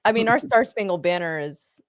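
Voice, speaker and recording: A woman's voice: on the low side, expressive, a little fast, no room sound. A woman co-hosting a live trading stream, chatting playfully and laughing often as she comments on the market. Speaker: co-host of a live trading show. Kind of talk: casual chat and market commentary.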